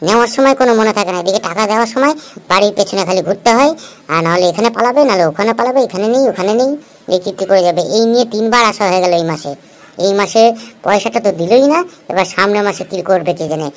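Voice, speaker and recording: Woman voicing an exasperated, fast-talking loan collector man. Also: mid-range voice, close to an everyday voice. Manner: scolding, complaining. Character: exasperated, threatening male loan instalment collector. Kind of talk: comedy cartoon voice acting.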